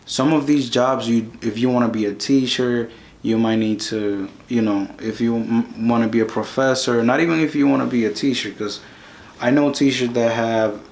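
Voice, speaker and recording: Dominican-accented man giving halting advice. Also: medium pitch, steady tone, gravelly, a little mellow. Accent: Dominican Spanish. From Dominican Republic. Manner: halting, repeats and restarts words. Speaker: online course instructor. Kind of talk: casual advice lesson.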